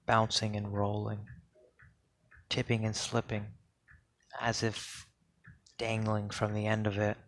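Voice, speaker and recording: Male poetry reader, lowish pitch, monotone delivery, solemn spoken word, measured phrases, deliberate pauses.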